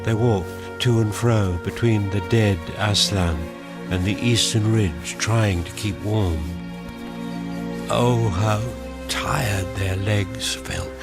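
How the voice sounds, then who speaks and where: A man narrating a scripted story for a radio drama in a measured, descriptive way. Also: fairly low pitch. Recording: prominent music behind the voice.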